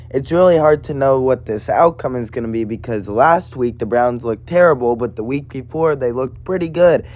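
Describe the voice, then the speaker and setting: A man with a raspy, slightly hoarse voice, hosting casual sports prediction commentary in a matter-of-fact way. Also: medium pitch, darkish tone. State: hoarse from partly lost voice. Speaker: sports prediction video host.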